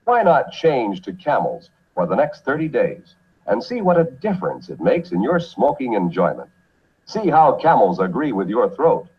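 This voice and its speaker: A male announcer from a vintage ad, selling with a smooth, upbeat pitch. His voice leaps up and down with great life and has a husky edge. The sound is dull and lo-fi, like a worn archival recording. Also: huge pitch swings, normal speed. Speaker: announcer in an old cigarette ad. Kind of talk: 1950s radio ad announcing.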